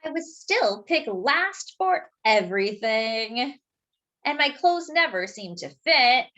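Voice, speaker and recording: Storyteller, female, unhurried and animated, stops to comment on the pictures. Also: fairly high pitch, highs cut off. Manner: expressive, pausing to point out pictures. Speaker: children's storyteller. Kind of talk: picture book read-aloud.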